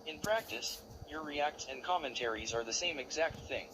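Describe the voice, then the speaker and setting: A man hosts a commentary video, talking over clips in a taunting, insulting way. His voice sits a little on the high side, with a faint hiss of noise behind it. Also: normal speed. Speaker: commentary channel host.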